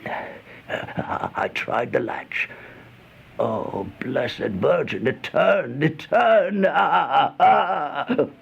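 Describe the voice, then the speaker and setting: An actor playing a terrified, feverish man in an old-time radio horror monologue, stammering, gasping and melodramatic, with a creaky voice. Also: on the high side, expressive, drawn-out words, full character voice. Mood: feverish, paranoid, then desperate, bewildered. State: panting, out of breath. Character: terrified, feverish man trapped with monstrous flies, horror narrator. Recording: dull, noisy old radio recording.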